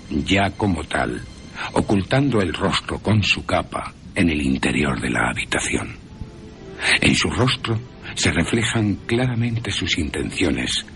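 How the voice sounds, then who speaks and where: A man's voice: sits low, vocal fry, fairly bright tone. A man narrating a horror radio drama in a theatrical way, with wry asides.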